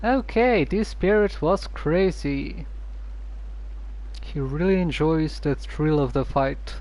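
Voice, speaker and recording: Slow, halting male game streamer. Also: a little high, darkish tone, low-quality recording. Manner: halting, stumbles over words. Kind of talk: casual live commentary over a game.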